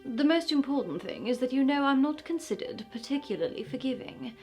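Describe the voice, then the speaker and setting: A woman playing a mockingly polite smuggler boss villainess who taunts a captive with deliberate, condescending menace in a fantasy roleplay. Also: high voice, lively intonation, a little mellow, soft background music. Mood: veiled threat. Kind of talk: point-of-view fantasy roleplay.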